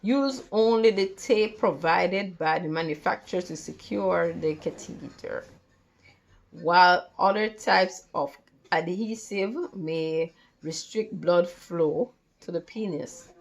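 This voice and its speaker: Female tutor for a read-along lesson: lowish voice, unhurried, careful and a bit halting. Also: vocal fry. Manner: halting, careful reading with stumbles and repeats. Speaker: tutor reading study material aloud.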